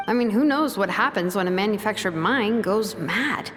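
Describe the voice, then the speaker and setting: A woman's voice: medium pitch, lively intonation, moderate pace, fairly bright tone, natural voice. An actress playing a casual young woman in a video game, musing aloud in a conversational way. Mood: speculative. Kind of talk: scripted video game voice acting. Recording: long hall reverb with music.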